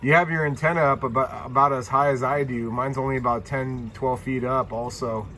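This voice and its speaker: Male radio operator, mid-pitched, easygoing and friendly, casual on-air contact chat over heavy hiss and static. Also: fairly even intonation, a little fast, bright, forceful tone. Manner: friendly, laid-back, radio jargon acknowledgements. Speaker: CB radio operator and YouTube channel host.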